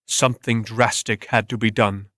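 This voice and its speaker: A man's voice: lowish voice, little pitch movement, moderate pace, pushed and bright, no room sound. A man giving a dramatic scripted reading of a story as its narrator.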